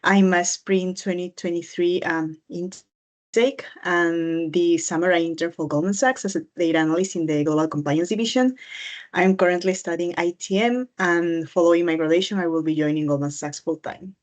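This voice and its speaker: A woman graduate student from Peru, measured and composed, introducing herself on a video call. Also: on the low side, fairly even intonation, darkish tone.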